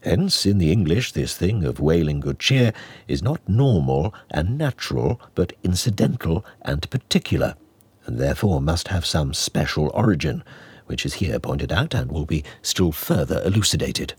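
A man reading a classic novel as an audiobook narrator, in a measured, expressive, mock-scholarly manner. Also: very deep voice, breathy edge, no room sound. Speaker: audiobook reader.

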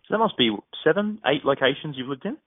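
A man's voice: a little high, expressive, fast, breathy edge, dry recording, narrow, tinny audio. A man hosting a professional interview, politely putting his questions with some hesitant phrasing. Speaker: interviewer, host.

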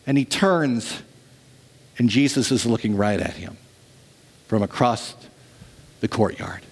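A man preaching a sermon to his church as pastor, telling stories dramatically and swinging from lively to hushed. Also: medium pitch, slow pace, slightly rough.

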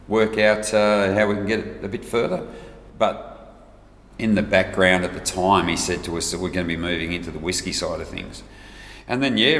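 A man's voice: fairly low pitch, fairly bright tone. A middle-aged Australian man chatting casually as a guest, in a rambling, jokey, self-deprecating way. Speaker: guest, whiskey brand ambassador. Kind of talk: casual chat. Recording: roomy reverberant space with faint noise.

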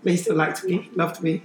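A young woman from with a deep voice, hoarse from losing it, chatting casually with a friend and saying "like" often. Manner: chatty, full of "like" fillers. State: hoarse, has lost her voice. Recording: echoey room with background noise.

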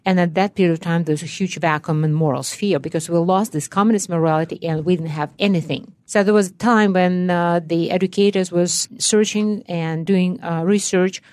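Earnest Russian-accented woman, low voice. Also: steady tone, moderate pace, few pauses, a little mellow, no room sound. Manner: earnest, explanatory, non-native grammar. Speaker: guest, education scholar. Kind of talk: radio interview answers. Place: radio studio.

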